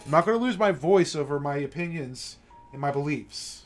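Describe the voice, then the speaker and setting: A man with a somewhat raised pitch delivers a passionate, emphatic monologue on a late-night stream. He often stops to check in with a pointed "okay?". Soft music plays faintly in the background. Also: animated, normal speed. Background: faint classical music.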